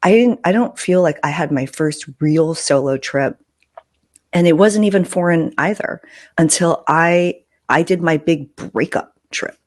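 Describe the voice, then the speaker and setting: A woman's voice: low-pitched, a little slow, darkish tone. Candid woman telling casual personal stories. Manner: candid, informal, swears freely. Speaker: podcast host.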